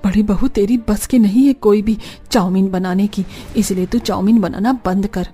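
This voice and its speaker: A woman's voice: medium pitch, steady tone, normal speed, warm tone, slightly rough, natural voice. Woman as stern, scolding older mother-in-law. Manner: blunt, commanding. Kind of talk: Hindi cartoon story dialogue.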